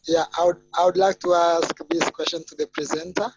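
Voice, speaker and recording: A man's voice: on the high side, level tone, a little fast. Tanzanian man on a noisy, muffled line. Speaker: webinar participant asking a question. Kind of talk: spontaneous question. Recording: dull, noisy online call with clicks.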